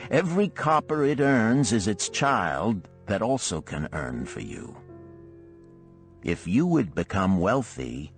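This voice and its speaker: A man's voice: on the low side, heavily acted. A man voicing a stern, blunt old moneylender mentor who lectures and scolds emphatically in a storybook parable. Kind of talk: audiobook parable character dialogue.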